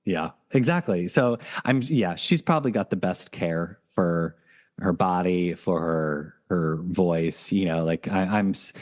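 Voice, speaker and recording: A man with a very deep voice hosting a casual podcast conversation. He talks in long, rambling turns and often restarts his sentences along the way. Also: unhurried. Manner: rambling, full of fillers and restarts. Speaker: podcast host.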